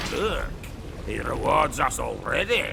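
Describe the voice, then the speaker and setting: An actor voicing a bloodthirsty, zealous minion of a plague god in a fantasy game, gloating and exclaiming in a croaky voice. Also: male voice, mid-range voice, big pitch swings, very slow, noisy background, full character voice. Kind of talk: fantasy game creature acting.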